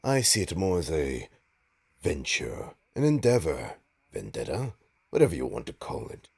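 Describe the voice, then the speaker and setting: A man playing a suave, smug supervillain in an audio roleplay, teasing his nemesis with a pompous, mock-polite drawl and dramatic pauses. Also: extremely low pitch, wildly swooping pitch, a little mellow, full character voice. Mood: haughty, evasive. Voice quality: gravelly, husky. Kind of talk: audio roleplay monologue addressed to listener.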